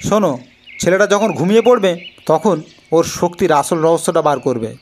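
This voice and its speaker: Man voicing an imperious, high-pitched cartoon king. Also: moderate pace, a little mellow, lightly acted. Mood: suspicious, threatening. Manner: commanding, questioning. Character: imperious older king, suspicious and threatening. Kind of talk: Bengali fairy-tale cartoon acting.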